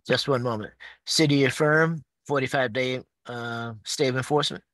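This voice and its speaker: A man chairing a public appeals board hearing over a video call, speaking in a measured, procedural way. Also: mid-range voice, fairly even intonation, a bit bright, no echo.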